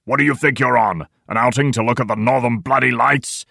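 A man's voice: low-pitched, a little fast, bright, forceful tone, no room sound, studio quality. A man voicing a weary, short-tempered old section leader in a war novel, barking coarse orders. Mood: furious.

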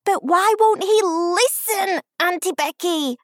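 A woman voicing an excitable, indignant five-year-old girl in an audiobook, with emphatic childish babble. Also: very high voice, slow pace, no echo, studio quality, voice pushed up. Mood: indignant, shrieking. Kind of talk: audiobook character voice.

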